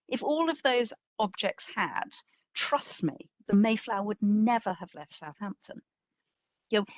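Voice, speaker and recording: A woman speaks as a curator presenting a history talk in a very dry, close acoustic. Her pace is markedly slow and her pitch sits a little low. She is reflective yet emphatic, putting questions to her listeners as she goes. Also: no room sound. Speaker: museum curator giving a talk. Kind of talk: public history lecture, semi-scripted.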